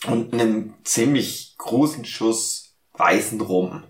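A man's voice: lowish voice, takes it slowly, echoey room. A drunk man co-hosting a podcast, talking hesitantly and rambling with lots of ums and restarts. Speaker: podcast co-host. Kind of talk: casual drunken podcast banter.

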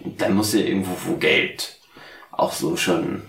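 A man co-hosting a podcast, drunk, rambling casually in a halting, earnest way. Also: sits low, steady tone, vocal fry, a bit bright, echoey room, recorded from a distance. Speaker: podcast co-host.

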